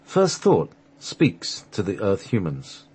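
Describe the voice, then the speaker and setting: A man narrating a sacred text for a radio play, halting at times as he reads from the script. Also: deep voice, wildly swooping pitch, a little mellow, slightly rough. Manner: halting, stumbles over the script and restarts.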